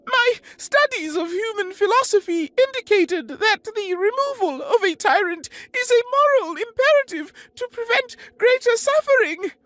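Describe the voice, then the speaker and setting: A woman voicing a slender, contrite alien envoy who speaks softly and melodically with formal, precise articulation. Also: very high voice, expressive, moderate pace, hardly any pauses, no room sound. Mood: stammering, distressed. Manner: stammering when frightened.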